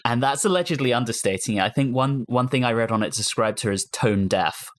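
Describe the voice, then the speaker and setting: A man's voice: mid-range voice, brisk. A man hosting a casual panel discussion podcast, talking conversationally with fellow hosts. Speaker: podcast host.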